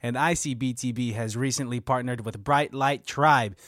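A man's voice: medium pitch, steady tone, moderate pace, a bit bright, no room sound, studio quality. A man hosting a podcast, reading a sponsor spot and chatting casually in a laid-back way. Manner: laid-back, uses "y'all" and "like". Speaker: podcast host. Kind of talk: casual chat with sponsor read.

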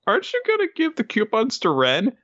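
A man's voice: high voice, huge pitch swings, a little fast, a bit bright, muffled recording, voice pushed up, full character voice. A man voicing small hermit crab minions, bossy, nagging and insistent.

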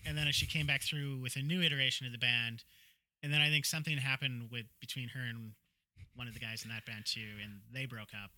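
A man as a podcast guest, telling casual stories in a rambling, halting way. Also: medium pitch, fairly even intonation, sharp tone, no room sound, rough recording. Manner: rambling, halting, heavy use of "like". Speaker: podcast guest, former bar worker. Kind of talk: casual anecdotal chat.

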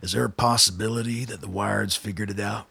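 An actor in a radio drama playing a gruff, suspicious older colonel with a gravelly, husky voice and a blunt manner. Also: male voice, fairly low pitch, fairly even intonation, fast talker, bright, forceful tone, no room sound, heavily acted. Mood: skeptical. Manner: blunt, skeptical, swearing. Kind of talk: naturalistic radio drama acting.

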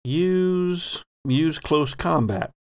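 A man hosting and narrating a tabletop horror game in a measured, theatrical way. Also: fairly high pitch, expressive, a little mellow, dry recording, clean studio sound. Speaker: game master and podcast host.

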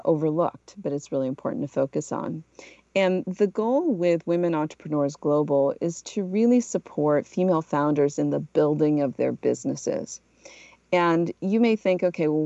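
A middle-aged woman from Portugal hosting a solo podcast, telling her story in a measured, reflective way. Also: sits low, vocal fry, mellow, no echo, muffled recording. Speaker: podcast host.

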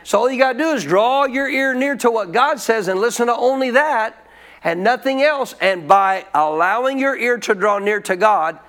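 A man with a high-pitched voice preaching a sermon. He teaches as a pastor in a loose, colloquial way. He keeps prompting the congregation to answer back. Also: lively intonation, normal speed, fairly bright tone. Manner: call-and-response preaching, colloquial.

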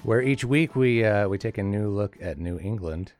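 A man co-hosting a casual chat podcast, his voice a little hoarse. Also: lowish voice, moderate pace, a little mellow, soft background music. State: slightly hoarse. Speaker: podcast co-host. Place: studio.

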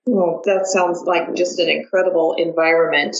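A woman's voice: lowish voice, fairly even intonation, normal speed, dull audio. A woman from the American Midwest with an American accent, hosting a conversational podcast interview. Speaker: podcast host.

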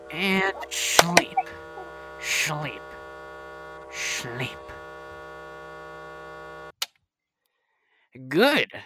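A woman playing a kindly, absorbed scientist in an old-time radio drama, speaking in a halting, soothing way. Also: deep voice, heavily acted. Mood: hypnotic calm.

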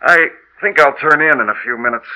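A man's voice: fairly high pitch, a little fast, bright, forceful tone, poor audio. An actor playing a trusting, earnest inventor betrayed by his partner, delivered with emphatic old radio horror drama acting. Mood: woozy, pleading. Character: trusting, eager male inventor, now a bitter dead narrator. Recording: old 1930s-40s radio broadcast recording.

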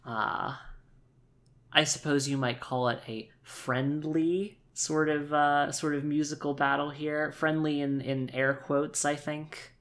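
An androgynous voice narrates a tabletop role-playing game off the cuff. The delivery is very slow and halting, with wordy, wry phrasing. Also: medium pitch, little pitch movement, fairly bright tone. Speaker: tabletop role-play player. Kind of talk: casual improvised game narration.

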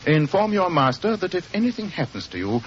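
A muffled, slightly noisy old radio drama carries a commanding British gentleman detective. His fairly high voice is formal and precise, coolly reasoning his way through each line. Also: very animated, a little fast. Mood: commanding, probing.

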